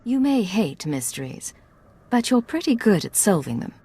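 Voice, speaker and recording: Husky, composed secret agent. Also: female voice, medium pitch, big pitch swings, moderate pace, warm tone. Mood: guarded. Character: composed, quietly sympathetic female secret agent. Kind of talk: video game drama acting.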